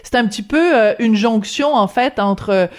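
A woman's voice: fairly high pitch, normal speed. A woman hosting a conversational interview, putting questions and summing up for her guest. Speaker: interviewer, radio host.

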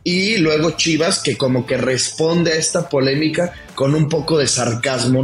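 A Mexican man on a sports podcast, telling football stories in a lively way and acting out quotes. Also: mid-range voice. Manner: lively storytelling, acts out quotes. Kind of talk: casual football talk. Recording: roomy echo.

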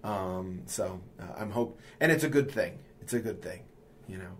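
A man's voice: sits low, normal speed, fairly bright tone, slight background noise. A man hosting a podcast as the editor, talking casually and hesitantly with many fillers.

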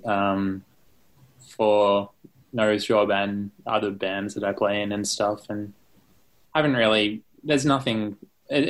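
A man's voice: lowish voice, poor audio. A young Australian man, a musician being interviewed, chatting casually and rambling with lots of fillers and restarts. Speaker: musician guest.

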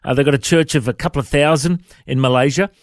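A man's voice: mid-range voice, fast talker, no echo. A man hosting a casual radio interview on a close studio microphone.

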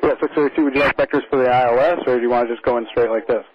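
A man working as an air traffic controller, giving calm, reassuring procedural instructions over the radio. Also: medium pitch, rapid-fire, dull audio.

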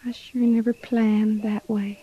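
An American actress playing a gentle, loving pregnant young frontier wife in an old-time radio drama, with soft, folksy rural speech. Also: female voice, mid-range voice, level tone, measured pace, husky. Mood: uneasy, protesting. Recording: dull vintage radio recording.

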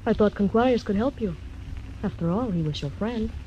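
A woman's voice: mid-range voice, expressive, fairly quick, warm tone, muffled recording, a little hiss or hum, natural voice. An actress voicing a mysterious, teasing young Mexican woman with a Spanish accent, in 1940s radio acting style. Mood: teasing, fatalistic.

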